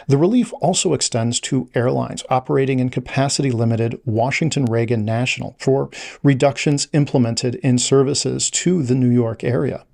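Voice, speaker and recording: A man presenting scripted travel news in a measured, formal read-aloud delivery. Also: mid-range voice, vocal fry, a little mellow.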